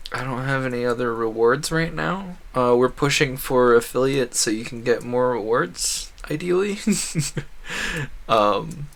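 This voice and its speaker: A man's voice: medium pitch, a bit bright. A man livestreaming a game, chatting casually and thinking aloud in a rambling way. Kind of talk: casual gaming stream chat.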